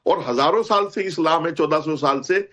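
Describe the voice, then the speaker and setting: A man with a moderately high voice argues on a television panel. He explains like a teacher, with emphasis, and repeats his key points firmly. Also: moderate pace, a bit bright. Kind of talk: explanatory argument on a TV debate.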